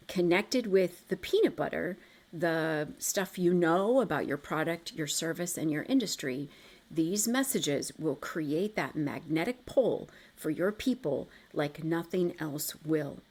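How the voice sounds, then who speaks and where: A woman's voice: sits low, expressive, measured pace, darkish tone. A woman coaching listeners step by step through an exercise, encouraging and reassuring, repeating key points for emphasis. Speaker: coach guiding a self-reflection exercise. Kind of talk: instructional coaching talk, step by step.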